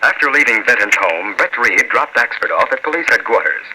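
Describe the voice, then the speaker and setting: A man narrating a 1940s radio drama in a deliberate, ominous, scripted manner. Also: medium pitch, lively intonation, over loud music. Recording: noisy old radio recording.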